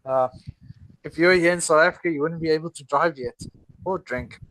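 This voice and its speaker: Higher-pitched laughing podcast co-host man. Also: a bit bright, a little hiss or hum, poor audio. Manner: laughs often, halting restarts. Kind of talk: casual chat over a video call. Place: at home in South Africa.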